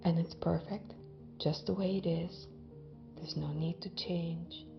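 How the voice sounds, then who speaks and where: Slow, creaky-voiced woman guiding meditation. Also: lowish voice, fairly even intonation, highs cut off. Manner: gentle, unhurried phrasing with long pauses. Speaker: guided meditation guide. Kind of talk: guided visualization, soothing hypnotic narration. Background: background music.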